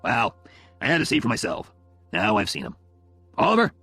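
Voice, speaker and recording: Man acting a kindly, husky working-class dad. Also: fairly low pitch, very animated, a little fast, pushed and bright, no echo, soft background music, full character voice. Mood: approving. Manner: simple, informal, kindly. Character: warm, plain-spoken Italian-American father, middle-aged, accepting. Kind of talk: audiobook character dialogue.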